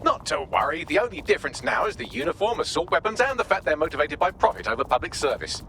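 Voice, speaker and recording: A man's voice: on the high side, very animated, fast, a bit bright, a little hiss or hum. A British man playing a witty, sardonic artificial intelligence assistant in a video game, articulate and breezy with dry sarcasm.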